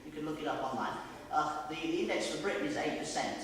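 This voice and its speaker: A man's voice: a little high, animated, fast, fairly bright tone, a little hiss or hum. A man speaking as a parliamentary candidate at a public hustings, blunt and forthright. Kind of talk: debate answer at a public hustings. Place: large echoey hall. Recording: echoey hall, mic far off.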